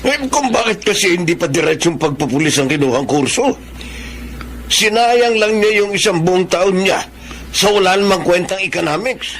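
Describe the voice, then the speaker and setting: A sneering, middle-aged Filipino father in a radio drama. His voice sits high for a man and grumbles with contempt. There is faint ambient noise behind him. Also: expressive, moderate pace, fairly bright tone. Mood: scornful. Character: scornful middle-aged father belittling his own son.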